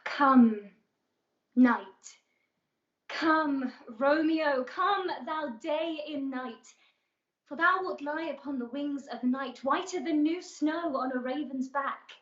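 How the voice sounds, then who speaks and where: Woman acting lovestruck young Shakespearean heroine, high voice, unhurried, yearning, poetic, impatient, muffled livestream recording. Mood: ardent longing. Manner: poetic, yearning, declamatory delivery. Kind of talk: Shakespearean stage monologue. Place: indoor room on a live stream. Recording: roomy, dull livestream audio.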